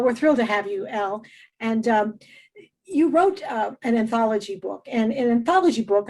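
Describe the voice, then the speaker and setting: A woman's voice: fairly high pitch, creaky, darkish tone, rough recording. A woman hosting a radio interview show, introducing her guest in an effusive way, with many fillers and restarts. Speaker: host.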